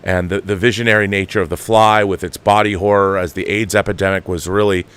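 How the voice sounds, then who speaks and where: American guy in his 50s, lowish voice, rambling emphatic movie commentary, kinda halting, really into it. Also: level tone, a bit bright, smooth. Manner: emphatic, halting, digressive delivery. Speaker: online film commentary show host. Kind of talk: impassioned off-the-cuff film commentary.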